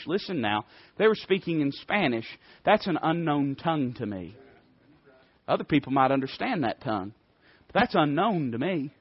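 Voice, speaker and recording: A man's voice: fairly high pitch, breathy edge, dry recording, lo-fi sound. A man with an Appalachian accent preaching an impassioned sermon in a folksy, emphatic manner with rhetorical questions. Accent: Appalachian American. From Appalachia, United States.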